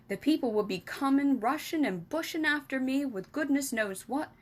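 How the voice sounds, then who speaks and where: A woman's voice: sits high, husky, voice pushed up, exaggerated voice. A woman voicing a big friendly male giant for a children's storybook, exuberant and kindly, with mangled grammar and emphatic nonsense words. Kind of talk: animated children's storybook reading.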